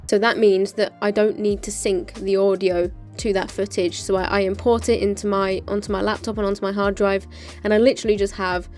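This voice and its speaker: Woman, British accent, mid-pitched, informal vlogger explainer, chatty and practical, light background music. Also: level tone, fairly quick, darkish tone. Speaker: motorcycle vlogger presenting. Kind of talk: casual explainer of a gear setup.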